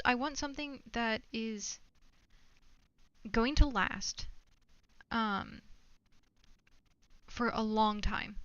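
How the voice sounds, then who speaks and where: A woman's voice: on the high side, bright, forceful tone, smooth, no room sound, dull audio. A woman live streamer chatting casually to her viewers while gaming, rambling and thinking aloud.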